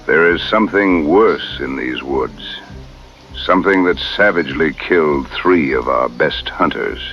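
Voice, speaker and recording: Very deep, gravelly solemn tracker. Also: male voice, dull audio, soft background music. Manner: formal, measured speech without contractions. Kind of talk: radio drama acting.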